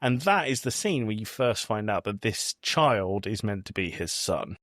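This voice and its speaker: Male podcast co-host, lower-pitched voice, casual film discussion, very dry recording. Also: expressive, moderate pace, fairly bright tone, no echo.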